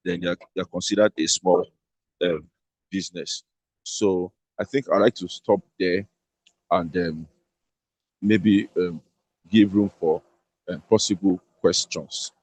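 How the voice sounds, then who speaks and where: A man's voice: lowish voice, fairly even intonation, moderate pace, a little mellow, husky, no room sound. A man explaining tax rules to an online audience, often repeating words as he searches for them. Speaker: tax speaker.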